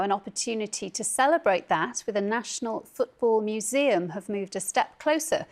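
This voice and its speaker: Woman newsreader, medium pitched voice, tv news presenting and interviewing.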